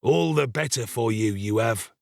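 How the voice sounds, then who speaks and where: A man voicing a savage, sick, ill-tempered criminal in an audiobook, with a gruff, growling, impatient delivery. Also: mid-range voice, normal speed, dry recording, clean studio sound, lightly acted. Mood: grudging threat. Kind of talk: dramatised audiobook reading of a classic novel.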